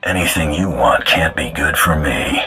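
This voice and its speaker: A man's voice: a bass voice, moderate pace, pushed and bright, husky, soft background music, exaggerated voice. A man acting a cold, menacing bounty hunter in a video game, terse and blunt.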